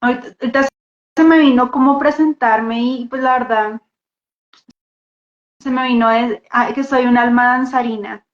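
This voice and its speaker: A woman with a Bogotá Colombian accent introduces herself casually and reflectively, halting and repeating words as she goes. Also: a little high, steady tone. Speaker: guest dance therapist. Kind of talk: casual personal introduction in a live talk. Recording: echoey room, dull muffled audio.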